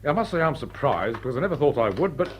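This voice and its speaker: Actor as lively, chatty servant lad. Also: male voice, mid-range voice, quick pace, soft background music, close to an everyday voice. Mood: cheerful, naive. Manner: colloquial, eager. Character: chatty working-class young servant, cheerfully naive. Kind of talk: period stage comedy radio drama.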